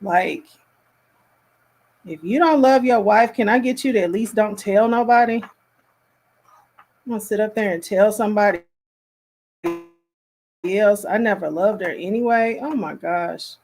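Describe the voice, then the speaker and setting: Slow-talking woman, casual commentary. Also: medium pitch, darkish tone. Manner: appalled, emphatic, halting delivery. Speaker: reaction commentator hosting.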